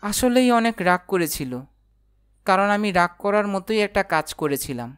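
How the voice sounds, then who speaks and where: A woman's voice: medium pitch, lively intonation, moderate pace, smooth, natural voice. A woman voicing an earnest, lovesick and confused young man in a cartoon romance. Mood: sad, earnest. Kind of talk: animated romantic drama dialogue.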